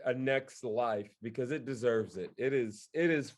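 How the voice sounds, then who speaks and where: A man's voice: medium pitch, unhurried. A man co-hosting a podcast, chatting casually and effusively with enthusiasm. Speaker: podcast co-host, actor. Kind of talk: casual chat.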